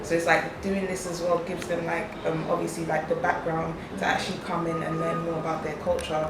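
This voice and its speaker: A woman's voice: low voice, level tone, brisk. A woman giving casual interview answers and often saying "like", recorded with echo and noise. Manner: peppers speech with "like". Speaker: interviewee, youth organisation mentor. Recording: echoey, distant mic, noisy background.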